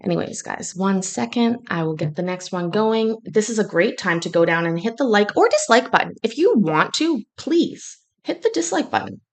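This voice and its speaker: A woman's voice: mid-range voice, sing-song, normal speed, hardly any pauses. A woman born in Scotland hosting a casual livestream from her kitchen, chatty and joking with a sarcastic streak. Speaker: livestream host. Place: home kitchen.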